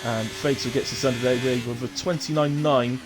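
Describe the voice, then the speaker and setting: A man commentating a sim racing stream, brisk and chatty, with a chesty cough. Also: mid-range voice, fairly even intonation. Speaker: race commentator. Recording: noisy stream mic with car sounds.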